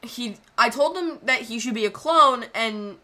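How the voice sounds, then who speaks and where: Rambling woman with a bright voice. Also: a little high. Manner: rambling, halting, full of fillers. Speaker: channel creator. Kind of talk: casual video commentary.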